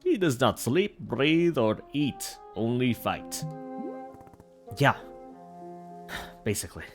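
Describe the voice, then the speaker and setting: A blunt young woman warrior in a video game who would rather fight than make tea, read aloud by a man in his own voice. She sounds flat and a little sulky, though her pitch still moves a good deal. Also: slow, faint music behind. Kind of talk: reading game dialogue aloud.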